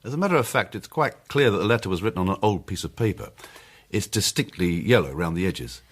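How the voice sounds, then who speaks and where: Low-voiced, methodical police inspector. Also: male voice, animated. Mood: businesslike. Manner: formal, measured, matter-of-fact. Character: male police chief inspector, methodical, briefing amateur detectives. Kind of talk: period detective radio drama.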